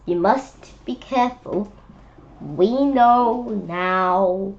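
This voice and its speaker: A woman's voice: mid-range voice, extremely slow, lo-fi sound. A woman reading a children's book aloud, adding chatty, playful asides and speaking directly to young listeners.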